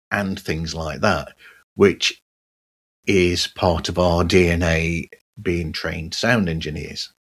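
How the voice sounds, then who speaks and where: A middle-aged man giving a conversational solo podcast monologue in measured, halting short phrases. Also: low-pitched, fairly bright tone. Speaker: podcast host.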